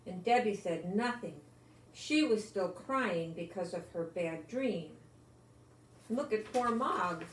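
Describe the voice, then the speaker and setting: Slow-paced older woman reading a storybook. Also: mid-range voice. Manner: expressive, gently exclamatory, addressing young listeners. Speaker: storyteller grandmother reading a picture book. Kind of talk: read-aloud of a children's storybook with asides. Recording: echoey room with some background noise.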